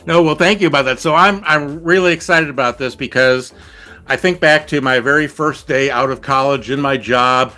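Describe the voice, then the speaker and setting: A man's voice: medium pitch, moderate pace, sharp tone, soft background music. A man hosting a podcast, asking eager, earnest questions of his guest. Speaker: podcast host. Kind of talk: conversational podcast interview.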